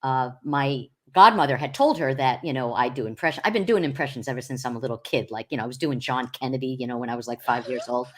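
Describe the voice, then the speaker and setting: A middle-aged woman with a deep, low-pitched voice talks as a guest on a podcast. She rambles loosely, circling back with fillers and false starts. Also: fast, few pauses, a bit bright. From Long Island, New York. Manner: rambling with many fillers and restarts. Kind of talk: casual interview chat.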